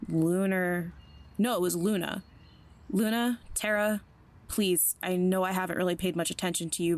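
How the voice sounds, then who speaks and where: A woman playing an earnest, worried friend in an audio drama, with a creaky voice. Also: lowish voice, lightly acted. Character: Olivia, earnest worried human friend pleading for help.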